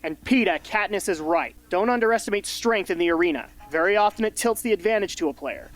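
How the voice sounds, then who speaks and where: A man's voice: sits high, lively intonation, fast, rough recording. Man playing a blunt, pragmatic mentor. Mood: firm, coaching. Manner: blunt, instructive. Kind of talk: amateur radio drama acting.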